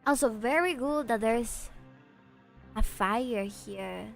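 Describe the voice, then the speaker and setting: Chatty young woman streamer, higher voice. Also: slow pace, gravelly, soft background music. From Peru. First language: Spanish. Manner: chatty and animated, swears freely, reacts to chat. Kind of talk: casual gaming stream chatter.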